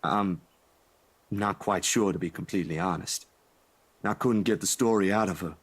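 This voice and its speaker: Visual novel friend: young, caring and easygoing, slightly low voice, colloquial and halting delivery. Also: male voice. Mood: worried, hesitant. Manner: casual, colloquial, hesitant. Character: laid-back, caring young man, worried for his friend.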